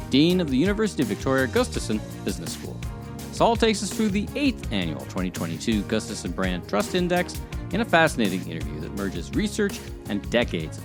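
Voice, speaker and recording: Man, middle-aged, podcast host, animated pitch. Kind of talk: scripted podcast intro and conversational interviewing.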